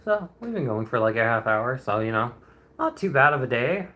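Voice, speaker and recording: A young man in his twenties talks casually to his livestream audience, with a bright, forward tone. He rambles a bit, greets his viewers, and chuckles often as he goes. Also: medium pitch, sing-song, moderate pace. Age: 20s. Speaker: streamer. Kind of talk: casual chat on a painting livestream.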